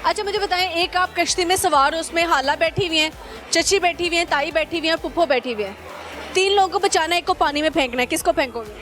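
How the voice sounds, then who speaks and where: A woman's voice: very high-pitched, fast talker, fairly bright tone. A young woman hosting a street interview, teasing her guest and laughing often. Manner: playful, mocking, laughs often. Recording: outdoor street recording with crowd and music.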